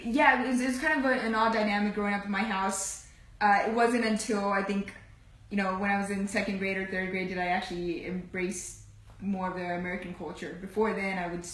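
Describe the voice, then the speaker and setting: A woman in her twenties from Houston, answering interview questions casually and agreeably. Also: medium pitch. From Houston, Texas, US. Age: 20s. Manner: relaxed, agreeable, many "yeah"s. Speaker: guest. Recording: echoey room.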